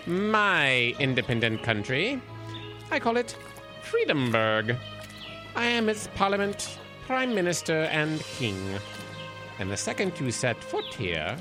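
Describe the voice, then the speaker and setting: Pompous old king type, super formal n creepy polite, voice goes all over the place, music under it. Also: on the high side. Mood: grandiose menace. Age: older. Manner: grandly formal, courteous yet menacing, theatrical. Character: pompous eccentric old man, self-crowned island king. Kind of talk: audio drama acting.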